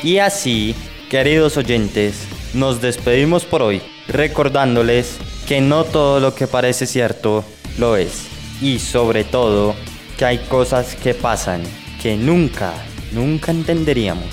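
Slow-talking Colombian male radio host. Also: mid-range voice. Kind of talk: scripted radio sign-off. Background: guitar music.

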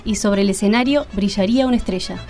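A woman's voice: mid-range voice, fast, darkish tone. A woman reading scripted narration for a radio drama. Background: music.

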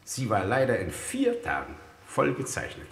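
An actor playing a fussy, exasperated economics minister in a satirical German radio play, emphatic and officious. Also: male voice, medium pitch, wildly swooping pitch, moderate pace, roomy sound. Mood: officious complaint. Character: fussy male economics minister, comically distressed by prosperity.

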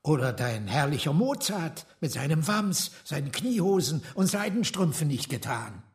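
An actor playing an opinionated, eccentric man given to theatrical lecturing in a radio drama, with a creaky voice. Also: fairly high pitch, sing-song, moderate pace. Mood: pontificating. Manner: declamatory, pontificating.

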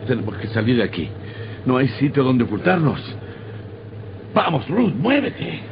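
An actor playing a cautious, reluctant husband in an old radio horror drama. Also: male voice, mid-range voice, sing-song, normal speed. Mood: urgent, alarmed. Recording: muffled, very noisy old radio recording.

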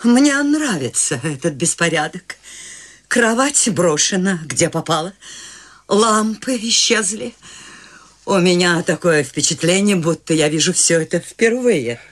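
A woman's voice: fairly low pitch, lively intonation, unhurried. An actress playing an eccentric, free-spirited elderly woman in a studio radio play, tender and wistful. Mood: whimsical. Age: older. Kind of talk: studio radio drama acting.

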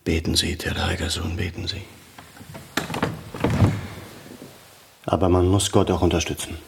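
A man acting in a German radio drama as a troubled, urgent character, brooding and intense, often dropping to hushed tones. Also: low-pitched, little pitch movement, fast talker, creaky, noisy background. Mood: reproachful. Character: troubled, urgent Armenian man challenging his priest. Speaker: actor in a radio play. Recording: long echoing reverb.

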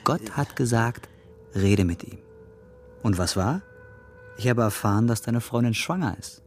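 An actor playing a fanatical born-again ex-convict in a radio drama, preachy and menacing. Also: male voice, mid-range voice, lively intonation, a little slow, soft background music, natural voice. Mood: confronting.

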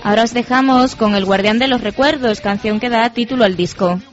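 A woman's voice: fairly high pitch, a little fast, soft background music. A woman presenting a radio programme, reading steadily from a script. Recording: dull, muffled radio recording.

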